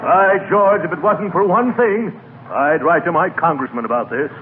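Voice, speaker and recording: An actor playing a pompous, blustering, aggrieved man with booming indignation in 1940s radio comedy. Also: normal speed, narrow, tinny audio, close to an everyday voice. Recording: very noisy, thin 1940s radio recording.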